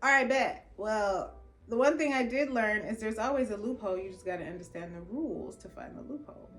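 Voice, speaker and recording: A young adult woman, a lawyer from Los Angeles whose first language was Jamaican Patois, tells her own story in a casual, expressive way and acts out the lines of people she quotes. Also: a little high, a little fast, faint music behind. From Los Angeles, California. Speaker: attorney. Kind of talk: personal storytelling.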